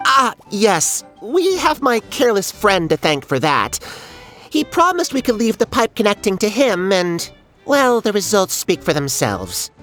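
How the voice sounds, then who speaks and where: A woman's voice: medium pitch, sing-song, unhurried, fairly bright tone. A woman voicing a small forest animal townsperson in a video game, polite but teasing. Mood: exasperated. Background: music.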